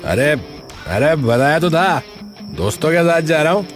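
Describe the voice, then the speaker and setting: A man playing an impatient, callous young village son in an animated story, brusque and dismissive. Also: fairly high pitch, a little slow. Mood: irritated, dismissive. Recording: music under the voice.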